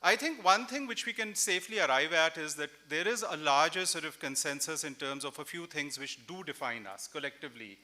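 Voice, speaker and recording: A man in his forties from Pakistan moderating a festival panel on a stage mic, measured and reflective, thinking aloud with a few fillers. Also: fairly high pitch, expressive, pushed and bright. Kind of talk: panel discussion at a literary festival. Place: large indoor festival stage with audience. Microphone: stand mic.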